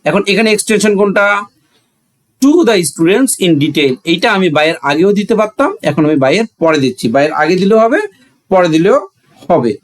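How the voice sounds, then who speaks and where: A man's voice: high-pitched, lively intonation, moderate pace. A man with a Bangladeshi accent teaching a grammar lesson, asking questions and answering them himself, repeating phrases for emphasis.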